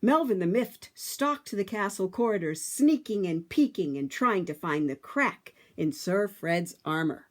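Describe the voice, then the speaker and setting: A woman reading a picture book aloud to children, with dramatic, emphatic phrasing and drawn-out words. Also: medium pitch, lively intonation.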